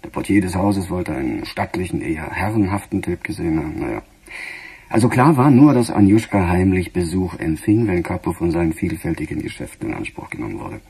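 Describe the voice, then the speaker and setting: A weary police chief from a radio crime drama, brooding aloud with dry sarcasm. His voice is low and mellow, with a heavy husky grain, and he halts as he thinks. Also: lively intonation, brisk, heavily acted. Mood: musing, confiding. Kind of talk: naturalistic German radio crime drama acting.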